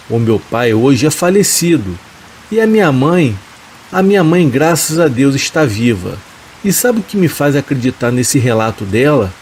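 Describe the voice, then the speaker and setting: A man with a somewhat high voice narrates a first-person horror story, reading slowly and calmly. His delivery stays measured and even, with a soft hiss of rain running behind him. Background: rain sounds.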